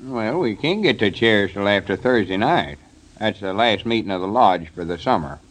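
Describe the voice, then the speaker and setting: An actor playing a stubborn, scheming old rural storekeeper with a folksy Southern American drawl in a 1930s radio comedy. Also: male voice, lowish voice, lively intonation, normal speed, a bit bright. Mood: folksy, deliberate. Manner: folksy, drawling country talk. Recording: 1930s radio broadcast recording.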